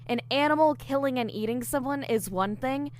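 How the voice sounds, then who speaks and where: Woman acting as high-voiced young female investigator. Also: moderate pace, darkish tone, a little hiss or hum, lightly acted. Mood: earnest, focused. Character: young female investigator, friendly, earnest, slightly nervous. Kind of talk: audio fiction acting.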